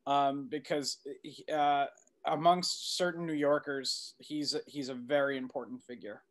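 Male interview guest, middle-aged. Somewhat higher voice, conversational, stop-and-start phrasing. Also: fairly even intonation, fairly bright tone. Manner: halting, repeating words. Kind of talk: casual interview talk. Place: indoor room, video call.

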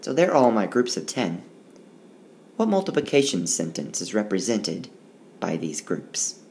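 A male teacher recorded over a tablet screencast, reading rhymes aloud in a slow, unhurried way. His pitch swings widely as he reads, then settles as he explains the counting. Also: slight background noise. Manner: slow, sing-song rhyming read-aloud with lively pitch. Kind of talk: reading a picture book aloud and explaining math.

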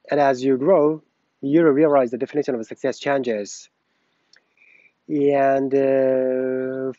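A man's voice: medium pitch, dark tone, no room sound, muffled recording. Man reciting a memorized speech. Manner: recites from memory, repeating and correcting phrases. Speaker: English learner reciting a speech. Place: beside a plain wall with a vent.

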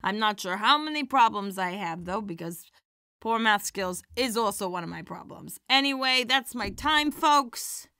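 A woman playing a feisty, warm-hearted elderly stand-up comedienne in an audio drama, with wry theatrical comic delivery. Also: medium pitch, animated, moderate pace, dry recording, studio quality. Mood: wry, playful.

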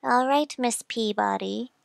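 A woman acts out an eerie young child in a suspense radio play. The voice is fairly high, clear and coolly polite. It is recorded close and dry. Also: a little slow, no echo. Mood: eerily calm. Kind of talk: old-time radio suspense acting.

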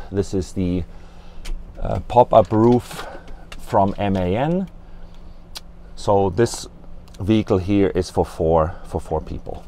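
A man's voice: on the low side, mellow. A man in his forties at a trade fair, walking through a camper van and explaining its fittings, with many hesitant fillers, on a lapel mic in a large exhibition hall. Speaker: company representative at a trade fair stand. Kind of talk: product walkthrough.

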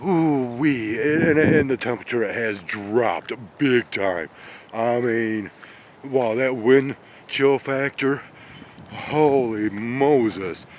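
A man's voice: mid-range voice, slow. A man talking casually while he walks small-town streets outdoors in the snow, with rambling, folksy exclamations into a handheld phone. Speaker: vlogger on a weather walk. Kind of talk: casual walking commentary. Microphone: handheld phone camera. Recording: wind noise on the mic.

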